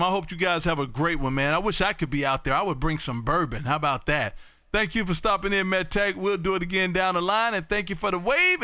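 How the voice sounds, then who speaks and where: A man chatting and calling as an amateur radio operator on his live stream, loud, folksy and exuberant. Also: high voice, clear, resonant tone, no echo, studio quality. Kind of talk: casual radio chat and calling. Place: home radio room.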